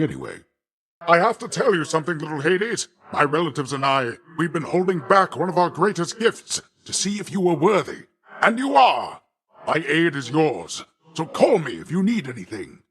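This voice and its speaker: An actor playing a boastful, jovial but quick-tempered sea god uncle in a video game, with a pompous, hearty, theatrical delivery. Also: male voice, a little high, a bit bright. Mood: hearty, encouraging.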